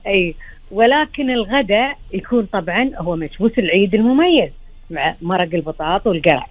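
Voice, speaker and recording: A woman's voice: mid-range voice, lively intonation, brisk, no echo, phone-quality sound. A woman with a Gulf Arabic accent and a slightly tired, strained voice, chatting as a guest chef and explaining things with rhetorical questions. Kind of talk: chatty interview reminiscence.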